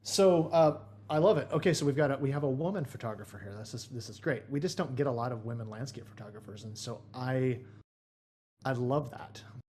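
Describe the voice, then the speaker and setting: A man's voice: mid-range voice, a little fast, darkish tone, husky. A man co-hosting an online photography feedback session in casual chat, easygoing, laughing often and restarting his sentences, heard over a voice call. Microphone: voice chat on a computer.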